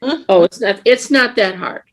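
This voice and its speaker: A woman's voice: a little high, measured pace, low-quality recording. A woman on a board reading interview questions to a candidate over a video call in a friendly way. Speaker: council board member asking questions. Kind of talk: interviewing at a public meeting.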